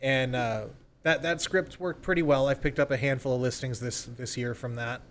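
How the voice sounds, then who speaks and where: A man's voice: mid-range voice, moderate pace, slight background noise. A man speaking as a real estate agent in a conversational podcast interview, informally explaining and acting out example sales calls. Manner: informal, role-plays example phone calls.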